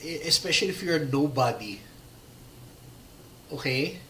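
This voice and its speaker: A man's voice: on the high side, expressive, normal speed, a bit bright, a little hiss or hum, poor audio. A man from the Philippines giving a live slide talk, losing his voice, rambling with many fillers and restarts. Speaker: presenter giving a talk on working in motorsport. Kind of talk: live-streamed slide presentation with audience questions.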